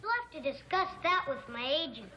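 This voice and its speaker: A young American boy actor playing a polite, businesslike child in a TV comedy, crisp and self-assured. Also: very high voice, animated, fairly quick, heavy background noise.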